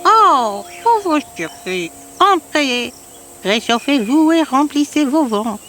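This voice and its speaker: A sly old cartoon witch, high-voiced and wildly swooping in pitch. She speaks in a theatrical, falsely sweet way, luring children in a fairy tale. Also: sing-song, takes it slowly, fairly bright tone, faint music behind, full character voice. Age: older.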